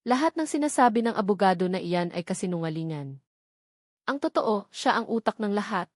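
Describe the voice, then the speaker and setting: Corrupt councilman, read by a woman, indignant and accusing, medium pitch, dry audio drama sound. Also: lively intonation, a little fast, a little mellow, dry recording, close to an everyday voice. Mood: accusing, defiant. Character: angry corrupt male councilman, defiant and accusing.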